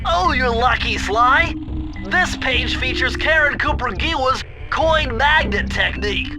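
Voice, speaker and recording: A brainy game sidekick with a very high, nasal-leaning voice, fussing over technical details in long, wordy sentences. Music plays under him. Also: male voice, animated, measured pace, heavily acted. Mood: dry briefing. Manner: fussy, wordy, know-it-all. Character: nerdy brainy male turtle sidekick, fussy. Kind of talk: video game cutscene acting. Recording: game audio with music behind.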